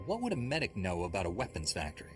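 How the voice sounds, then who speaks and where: Deep-voiced, stern Jedi soldier. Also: male voice, huge pitch swings, racing. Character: stern, cold male Jedi Knight commando. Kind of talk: scripted video game acting. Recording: game music behind the voice.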